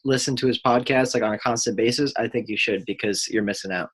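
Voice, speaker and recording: A man's voice: medium pitch, fast talker, husky. A man from the United States, a guest in a casual podcast interview, easygoing and talkative.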